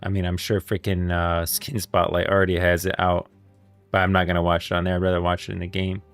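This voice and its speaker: A man's voice: low voice, completely flat delivery, fairly quick, clear, resonant tone, soft background music. A man hosting a livestream, chatting casually and thinking aloud to viewers in informal, slangy language. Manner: informal slangy talk addressing viewers as guys and bros. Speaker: livestreamer and host.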